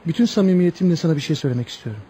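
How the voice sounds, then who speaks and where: An actor playing a brave, wrongly condemned young lover, solemn and heartfelt, in a radio play. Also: male voice, fairly high pitch, animated, racing, dark tone, a little hiss or hum, lightly acted. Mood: tender, resolute. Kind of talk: radio theatre acting.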